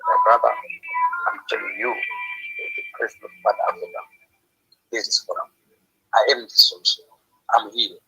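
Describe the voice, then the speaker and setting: A man's voice: on the low side, wildly swooping pitch, moderate pace, long pauses, bright, forceful tone, husky, faint music behind, rough recording. A man from Zimbabwe taking part in a forum, making emphatic, preacher-like declarations over a call. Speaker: forum participant.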